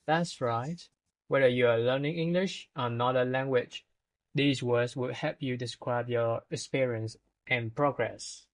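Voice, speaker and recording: A man's voice: medium pitch, unhurried. A man co-hosting a scripted English vocabulary podcast, reading definitions and examples aloud with small restarts. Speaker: podcast co-host.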